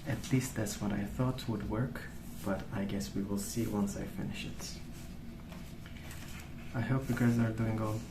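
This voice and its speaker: A man rambling softly in an ASMR style, hushed, hesitant and unhurried. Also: lowish voice, fairly even intonation. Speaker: ASMR video host. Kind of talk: soft-spoken ASMR rambling. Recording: roomy, distant mic with clear noise.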